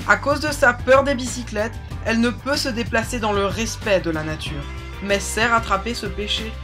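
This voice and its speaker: High-voiced woman narrating over music. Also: moderate pace. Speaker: narrator of a parody reality show. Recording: loud music under the voice.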